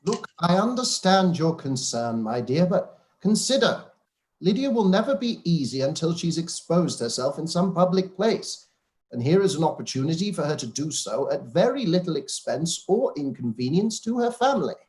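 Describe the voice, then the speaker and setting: An actor playing a wry, indulgent older father in a live-read radio play, dry and gently mocking. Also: on the high side, very animated, moderate pace, low-quality recording. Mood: amused.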